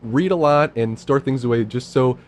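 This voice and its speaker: A man in his thirties with a low voice, a guest in a casual podcast chat, talking in a laid-back, self-deprecating, rambling way. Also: moderate pace, slight background noise. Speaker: improv guest.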